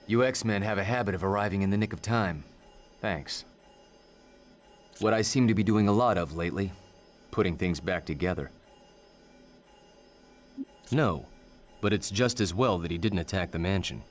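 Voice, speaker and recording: An actor playing a calm, practical heroic ally in a video game, speaking in a measured, matter-of-fact way with dry good nature. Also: male voice, deep voice, soft background music, lightly acted. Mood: thoughtful. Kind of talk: video game cutscene acting.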